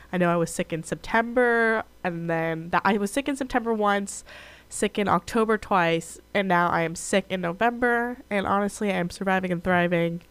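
A young woman hosting a college radio show alone, chatting casually; she sounds congested, nasal and a little raspy from being sick. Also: fairly low pitch. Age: young adult. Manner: chatty, frequent "like" and "um", restarts. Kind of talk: casual solo radio chat.

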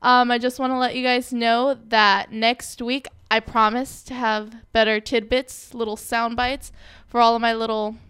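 A woman's voice: fairly high pitch, flat delivery, unhurried, hardly any pauses, a bit bright, smooth, dry recording, clean studio sound. A young woman hosting a casual radio show, chatty and joking, with a lot of filler words.

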